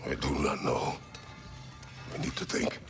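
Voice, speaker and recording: An actor playing a stoic, weary warrior god and protective father in a video game, terse and grave, with a gravelly voice. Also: measured pace, a bit bright, faint music behind, lightly acted. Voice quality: deep, gravelly. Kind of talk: video game character acting.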